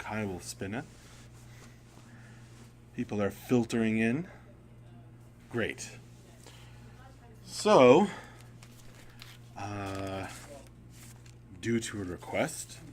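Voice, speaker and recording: A man hosting a storytelling livestream, speaking haltingly with frequent pauses. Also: fairly low pitch. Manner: halting, pausing often. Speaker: writer, editor and stream host. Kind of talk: casual livestream chat and story reading. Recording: audible background hum and noise.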